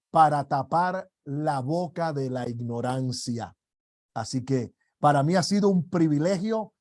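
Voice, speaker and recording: Slow, high-voiced preacher. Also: male voice. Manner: slow, emphatic preaching with rising shouted declarations. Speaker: apostle teaching a ministry class. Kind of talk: sermon.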